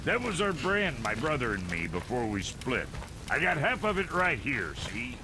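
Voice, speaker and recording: A man voicing a gruff, boastful, foul-mouthed dwarf blacksmith in a video game, gravelly, crude and folksy. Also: mid-range voice, very animated, normal speed, noisy background, close to an everyday voice. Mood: boastful, salesmanlike.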